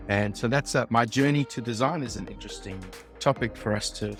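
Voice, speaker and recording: A man with a South African accent, a design leader speaking as a podcast guest in a thoughtful, measured way. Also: mid-range voice, faint music behind. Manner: thoughtful, measured, some ums and uhs. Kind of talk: podcast interview.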